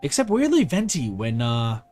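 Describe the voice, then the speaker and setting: Lively streamer with big pitch swings. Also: normal speed. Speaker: game streamer. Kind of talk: casual live gameplay commentary. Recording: loud game music behind commentary.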